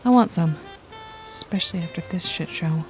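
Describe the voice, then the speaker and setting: A woman's voice: on the low side, normal speed, darkish tone, lightly acted. A woman playing a wry, jaded detective and hired killer in a noir ASMR roleplay, nonchalant and teasing with a casually menacing edge. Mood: cool, teasing. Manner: sardonic, foul-mouthed, nonchalant, teasing. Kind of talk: noir ASMR roleplay, intimate one-sided dialogue to listener. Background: jazzy music and rain effects.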